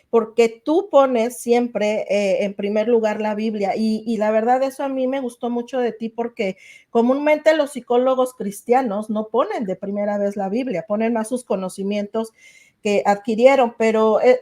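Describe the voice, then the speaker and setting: A woman hosts a faith-based podcast interview in a fairly high, mellow voice. She talks effusively and gratefully, often pausing on "eh.". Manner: warm, effusive, grateful, with many "eh" fillers. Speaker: podcast host. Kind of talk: casual interview chat.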